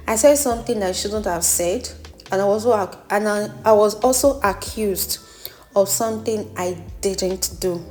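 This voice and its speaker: A woman's voice: medium pitch, a little mellow. A woman vlogger, a wig maker, telling her personal story in a voice-over. She speaks earnestly and encouragingly and repeats phrases for emphasis.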